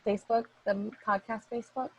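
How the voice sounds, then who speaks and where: A woman's voice: fairly high pitch, fairly even intonation, a little fast, a little hiss or hum, rough recording. A woman chatting casually as the host organising a group recording from her room at home. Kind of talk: casual chat before a recording. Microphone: small personal microphone on a group call.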